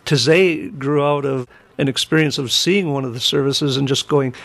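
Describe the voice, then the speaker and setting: Middle-aged man with gravelly fry. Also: medium pitch, steady tone, moderate pace, fairly bright tone, dry recording. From Wisconsin, United States. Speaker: interview guest, singer and musician. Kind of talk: conversational interview, reflective storytelling.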